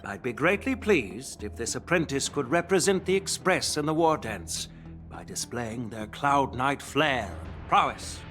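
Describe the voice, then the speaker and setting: A man voicing a dignified elderly general who speaks in a measured, courteous and stately way, recorded as a studio game dub. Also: mid-range voice, big pitch swings, fairly bright tone, faint music behind.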